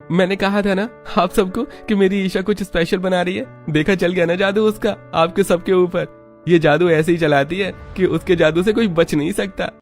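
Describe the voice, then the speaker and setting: A man's voice: high voice, steady tone, normal speed. A man playing a playful, proud young husband in an acted family story, with music behind.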